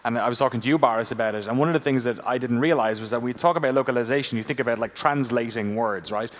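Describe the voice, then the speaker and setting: A man's voice: mid-range voice, quick pace, in a large hall. A man moderating a game panel, upbeat and enthusiastic.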